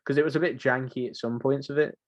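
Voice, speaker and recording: A man's voice: medium pitch, steady tone, fast talker. A seventeen-year-old young man co-hosting a podcast, chatting casually about games in an informal, slangy, jokey way. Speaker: podcast co-host.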